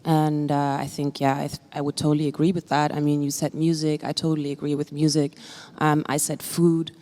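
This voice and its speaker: Low-voiced woman in a reverberant hall. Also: flat delivery, a little fast, mellow. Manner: casual, agreeable, many fillers like "um" and "like". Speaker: discussion participant. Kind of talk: reflective group conversation. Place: exhibition hall in a museum.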